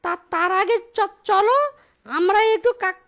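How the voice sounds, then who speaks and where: A woman voicing a sly, cowardly male fox thief in a cartoon, squeaky and stuttering heavily, wheedling in an exaggerated way. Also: measured pace, a crowd in the background. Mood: wheedling, flirty. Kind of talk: cartoon voice acting.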